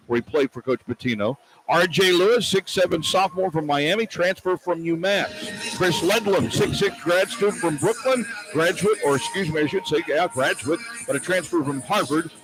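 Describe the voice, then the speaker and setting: A man calling a live basketball broadcast as the play-by-play announcer, with polished, measured delivery. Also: on the high side, poor audio. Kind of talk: live sports broadcast commentary. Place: sports arena broadcast position.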